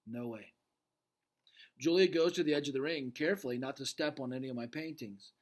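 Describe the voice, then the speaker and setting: A man reading a children's book aloud with expressive narration and casual asides. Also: a little high, quick pace. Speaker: storybook reader.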